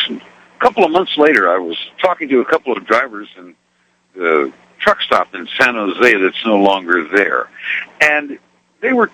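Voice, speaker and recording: Male radio caller, unhurried storyteller, very varied intonation, raspy edge, conversational and folksy. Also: medium pitch, pushed and bright, poor audio. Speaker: radio show caller, trucker.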